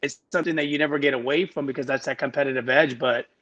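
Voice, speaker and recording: Bright-voiced man telling his story. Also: mid-range voice, fairly even intonation, muffled recording. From Miami, United States. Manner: halting, restarts phrases often. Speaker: podcast guest. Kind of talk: casual interview storytelling.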